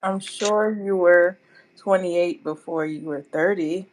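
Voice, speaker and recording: A woman's voice: on the low side, fairly even intonation, takes it slowly, darkish tone, slight background noise, low-quality recording. An American woman in her forties chatting casually on a livestream. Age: 40s.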